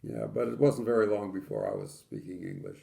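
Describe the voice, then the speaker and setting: A man's voice: fairly low pitch, huge pitch swings, fairly quick, gravelly, dark tone. An older man from Poland, telling his childhood memories in an oral history interview with dry, matter-of-fact humor.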